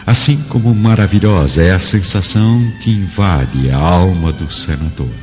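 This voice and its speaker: A man's voice: low-pitched, normal speed, natural voice. A Brazilian man narrating a radio drama in a solemn, dramatic storytelling style. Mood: wondrous. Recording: dull lo-fi audio, loud music behind.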